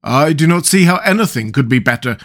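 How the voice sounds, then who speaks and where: A man's voice: on the low side, lively intonation, normal speed, a bit bright. A man voicing a gloomy, self-pitying pumpkin-headed creature in a plaintive, wailing storybook manner. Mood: protesting.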